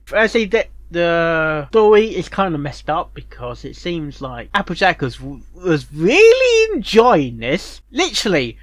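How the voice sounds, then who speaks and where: Reviewer, lively and rambling. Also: medium pitch, dry recording. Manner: rambling, repeats words and phrases. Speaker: reviewer and host of a fanfiction reaction show. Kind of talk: casual reaction commentary.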